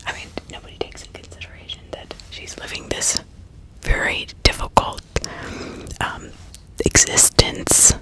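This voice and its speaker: A woman narrating true-crime stories in an ASMR style, in a breathy, unvoiced whisper with sympathetic, dramatic storytelling. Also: unhurried. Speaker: ASMR host.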